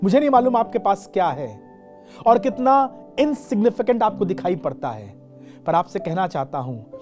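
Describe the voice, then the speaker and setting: Indian bishop in his forties, mellow and warm, dramatic sermon, soft music underneath. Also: sits high, sing-song. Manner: dramatic, slows for emphasis, switches into English phrases. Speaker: bishop preaching. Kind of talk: sermon to camera. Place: dark studio set with a lamp.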